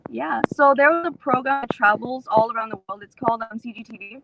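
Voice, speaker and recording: Higher-voiced woman giving interview answers. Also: fast talker, vocal fry, muffled recording, noisy background. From Rio Grande Valley, Texas, USA. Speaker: interview guest, author and actor. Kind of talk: casual explanatory interview answers.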